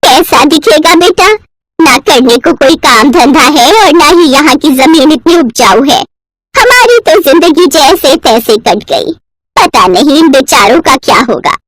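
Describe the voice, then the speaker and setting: A woman's voice: sits high, animated, measured pace, fairly bright tone, dry recording. A woman voicing a loving old village grandmother in a moral story, lamenting tenderly. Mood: sorrowful. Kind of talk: Hindi animated moral story acting.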